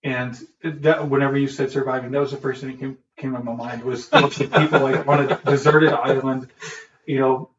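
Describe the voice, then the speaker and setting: A relaxed man co-hosting a podcast, talking easily and laughing often. The sound is roomy and dull, as if he sits away from the microphone, with a faint hiss behind. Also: mid-range voice, normal speed, far from the mic. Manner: easygoing, warm, laughs often. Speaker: podcast co-host. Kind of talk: casual chat.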